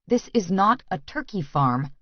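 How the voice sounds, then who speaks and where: A woman's voice: medium pitch, sing-song, measured pace, dry recording, dull audio. A woman voicing an anxious, stubborn young woman, earnest and insistent, in an audiobook.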